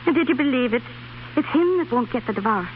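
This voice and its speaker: A high, spirited voice of a young Irish woman, blunt and loyal. She speaks in 1930s radio drama style, on a muffled recording with clear noise. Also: animated, quick pace. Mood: alarmed, insistent. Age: young adult.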